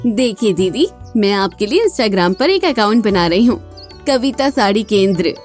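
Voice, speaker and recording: A woman's voice: medium pitch, very animated, a little slow, a little mellow, soft background music. A woman playing an eager, helpful young neighbour in a moral-story cartoon, talking encouragingly. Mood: reassuring.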